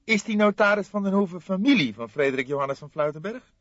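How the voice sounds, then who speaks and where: A man voicing a young, eager detective sidekick in an audiobook, quick, emphatic and inquisitive. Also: high voice, expressive, a bit bright, no echo, muffled recording, voice pushed up. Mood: surprised, curious.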